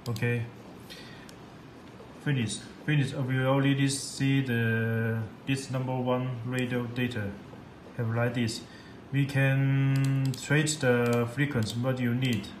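Halting man, slow and flat. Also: medium pitch. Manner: halting, repetitive, simple non-native English. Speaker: product demonstrator. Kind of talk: unscripted software tutorial. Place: indoor room at a computer desk. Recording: echoey room with background noise.

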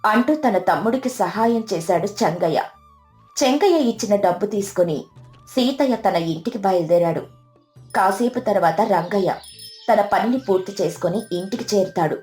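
A woman's voice: mid-range voice, lively intonation, moderate pace, a little mellow, soft background music. A woman reading scripted narration for a moral story as its storyteller.

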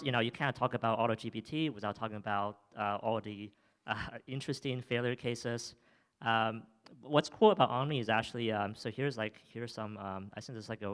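A man's voice: mid-range voice, a bit bright. A young man presenting his startup's product on a theater stage with a handheld mic, talking through a demo with many fillers and restarts, then answering audience questions. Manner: filler-heavy, frequent restarts, enthusiastic. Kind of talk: live demo talk and audience Q&A.

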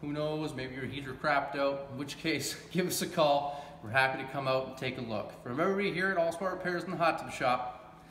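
A man's voice: fairly high pitch, fairly quick, a bit bright. A man, giving a casual, folksy hands-on repair demonstration as a technician. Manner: folksy, colloquial, checking in with "okay?". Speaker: hot tub repair technician presenting a how-to. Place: large indoor warehouse with brick walls. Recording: echoey warehouse with clear background noise.

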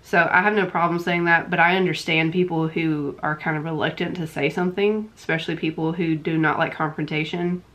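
A woman's voice: low voice, steady tone, a little fast, few pauses, fairly bright tone. A woman in her thirties with a stuffy, congested voice, chatting emphatically about books she has been reading, recorded at home by a camera at desk distance. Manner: chatty and emphatic, with frequent repetitions. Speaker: book vlogger. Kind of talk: casual book review chat. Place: home room lined with bookshelves. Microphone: camera in front at desk distance.

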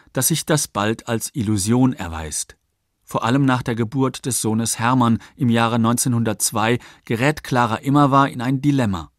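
Low-voiced male documentary narrator. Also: no room sound, clean studio sound. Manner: factual, measured. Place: dry studio.

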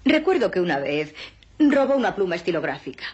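An actress playing a prim, earnest schoolteacher in a film mock interview. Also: female voice, on the low side, very animated, fairly quick, a little mellow, husky, lo-fi sound. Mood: prim reminiscing. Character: prim, earnest female schoolteacher recalling a pupil.

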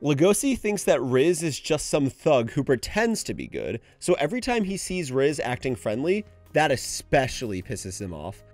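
Man, scripted video essay narration, animated intonation, music underneath. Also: medium pitch, normal speed, few pauses.

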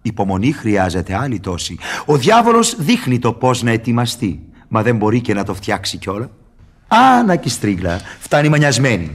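An actor playing a sly, mocking devil tempter in a theatrical radio verse drama. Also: male voice, medium pitch, very animated, a little hiss or hum, close to an everyday voice. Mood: breezy, coaxing.

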